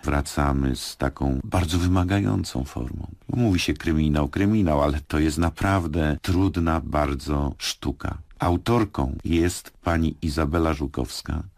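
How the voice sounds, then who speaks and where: A man with an extremely low voice speaks in a dry studio. He presents radio drama in a measured, thoughtful way, laying out his thoughts in short phrases. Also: darkish tone, dry recording. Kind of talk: radio studio talk introducing a series.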